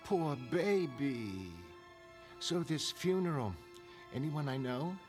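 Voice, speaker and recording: Man voicing a fussing, drawn-out elderly mother. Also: on the high side, very animated. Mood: fussing, teasing. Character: elderly mother, wistful, chatty, forgiving former glamour woman. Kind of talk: dramatic comic-book narration in character voices. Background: music.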